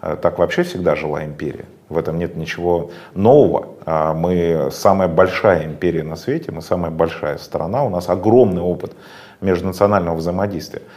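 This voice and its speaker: Emphatic lecturing man, low voice. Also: fairly quick, darkish tone. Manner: emphatic, lecturing, many filler sounds. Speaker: interview guest. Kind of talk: political interview answer.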